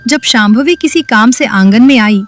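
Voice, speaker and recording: Female storybook narrator, slightly high, steady, light music bed. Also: normal speed.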